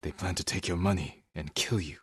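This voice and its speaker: An actor playing a stern, honorable samurai warrior in a video game, with a husky voice and a measured, terse, commanding manner. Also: male voice, deep voice, dry recording. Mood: grave, subdued. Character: stern honorable adult male samurai warrior, dutiful and weary. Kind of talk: video game character voice lines.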